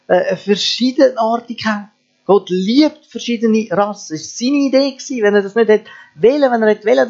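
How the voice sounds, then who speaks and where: Man, pastor, high voice, earnest sermon with rhetorical questions, lo-fi recording with mains hum. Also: a little mellow. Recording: dull lo-fi sound with mains hum.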